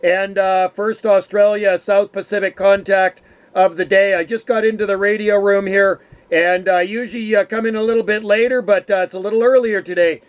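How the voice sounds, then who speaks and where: Middle-aged man, high-pitched, smooth clean voice, casual ham radio operator, radio lingo, friendly. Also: steady tone, moderate pace. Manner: uses radio jargon, friendly address. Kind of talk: casual radio contact chat. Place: home radio room.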